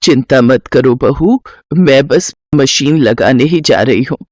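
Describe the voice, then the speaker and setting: A woman puts on an old village grandmother of eighty for a cartoon tale. She is gentle and long-suffering. Her manner is meek, patient and plaintive. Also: very deep voice, animated, takes it slowly, dry recording, clean studio sound, pitched below the performer's own voice, full character voice. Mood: resigned. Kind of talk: cartoon story voice acting.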